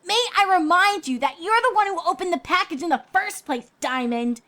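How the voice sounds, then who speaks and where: A woman's voice: very high-pitched, expressive, fairly quick, sharp tone, pitched above the performer's own voice, heavily acted. A woman voicing a feisty, indignant young female pegasus pony in a story reading. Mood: angry.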